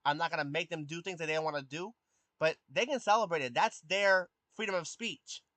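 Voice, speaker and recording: Man, early twenties, youthful undeepened voice, slightly high, hesitant with ums, casual live stream chat, dry sound. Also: fairly quick, sharp tone, dry recording. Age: 20s. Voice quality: youthful voice that has not deepened. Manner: hesitant, many ums and restarts, answers chat questions.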